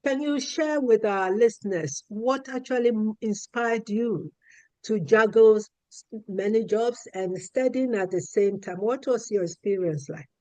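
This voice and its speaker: Slow, high-voiced male interviewer. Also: no echo, poor audio. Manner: softly encouraging, halting with restarts. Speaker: podcast host.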